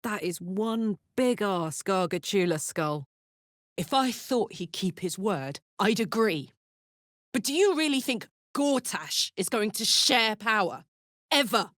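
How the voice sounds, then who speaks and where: A tough tiefling warrior woman from a fantasy video game. She is brash and slangy but warm-hearted and sincere, and she delivers her lines at an unhurried pace. The sound is dry, with no room around it. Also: mid-range voice, animated, no room sound. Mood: blunt, defiant. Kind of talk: video game character voice acting.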